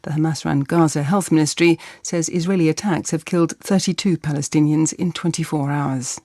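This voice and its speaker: A woman's voice: low voice, fairly even intonation, a little fast, mellow, no room sound, clean studio sound. A woman with a British accent reading a formal, scripted radio news bulletin as newsreader. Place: dry broadcast radio studio.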